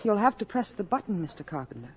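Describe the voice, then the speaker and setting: An actress playing a gentle but firm young mother in a 1950s radio drama, soothing and composed. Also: mid-range voice, big pitch swings, fast talker, background noise, lightly acted. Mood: polite.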